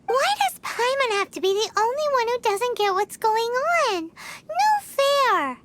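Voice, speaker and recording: A woman voicing a tiny, bossy female fairy companion in a game, chirpy and excitable, talking about herself in the third person. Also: very high voice, measured pace, slight background noise, heavily acted. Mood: indignant. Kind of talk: game voice acting. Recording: studio voice with loud game music.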